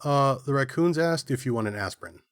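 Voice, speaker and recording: Guy as harried half-banana gnome editor, punny, swingy pitch, dry recording, comedy podcast. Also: expressive, brisk, dry recording, close to an everyday voice. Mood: hapless, punning. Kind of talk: comedic audio drama dialogue.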